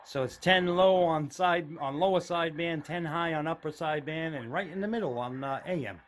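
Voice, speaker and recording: A man's voice: on the high side, fairly quick, a little hiss or hum. Middle-aged man giving a casual tour. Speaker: hobbyist video host. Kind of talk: casual unscripted tour narration. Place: home room with radio gear, indoors.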